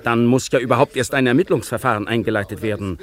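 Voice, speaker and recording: An actor playing a ponderous, formal judge in a radio drama. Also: male voice, medium pitch, quick pace, natural voice. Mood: grave, bureaucratic.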